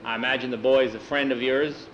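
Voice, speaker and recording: A man's voice: mid-range voice, fairly even intonation, quick pace, fairly bright tone, dull audio, slight background noise, natural voice. A man voicing a stern farmer in a told story, angry, blunt and threatening. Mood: grim. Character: stern, angry farmer set on shooting a dog.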